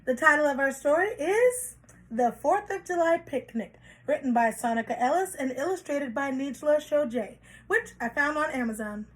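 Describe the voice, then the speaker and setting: A woman reading a children's story aloud in an expressive, deliberate storytelling style for young children. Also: sits high, low-quality recording.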